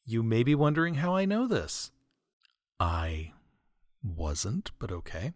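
A man's voice: medium pitch, huge pitch swings, slow pace, no room sound, clean studio sound. A man hosting a podcast, reading scripted comic corrections and listener mail with asides in a tongue-in-cheek, mock-earnest way.

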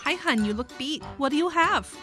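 High, swooping, chirpy waitress. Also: female voice, animated, fairly quick, sharp tone, faint music behind. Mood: solicitous. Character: chirpy, folksy waitress in a coffee ad. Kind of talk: parody vintage radio commercial.

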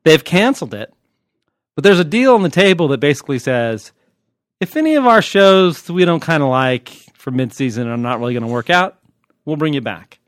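A man's voice: on the high side, very animated, fairly quick, no room sound. American man in dry, close podcast sound. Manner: wry, joking. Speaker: TV critic and podcast host. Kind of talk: casual podcast chat.

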